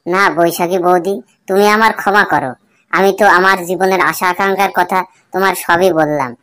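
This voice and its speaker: A man's voice: high voice, fairly even intonation, brisk, sharp tone, smooth. Man voicing a bawdy, grumbling young village bachelor. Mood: pleading, stubborn. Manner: colloquial, complaining, pleading. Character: Futo, bawdy young bachelor, pleading and evasive. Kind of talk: comic cartoon dialogue and muttered asides.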